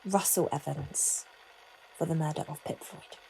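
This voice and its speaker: A woman's voice: low voice, steady tone, unhurried, creaky, dark tone, slight background noise, low-quality recording. A woman playing a formal, probing, authoritative detective inspector in an audio drama. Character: stern, probing female police detective inspector leading interrogation.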